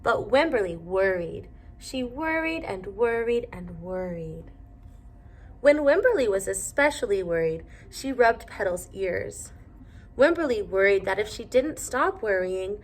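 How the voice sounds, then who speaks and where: A woman teacher reading a storybook aloud to young children, pausing to ask them simple questions. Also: mid-range voice, animated.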